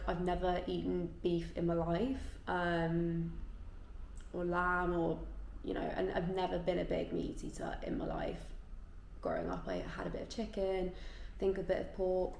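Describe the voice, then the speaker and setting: A woman in her twenties with a slightly low, creaky voice, talking casually as a vlogger. She chats informally, as if to friends. The room is noticeably echoey. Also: a little mellow. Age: 20s. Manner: chatty, informal, frequent "like". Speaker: vlogger answering viewer questions. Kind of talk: casual chat to camera, Q&A. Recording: echoey room with faint background noise.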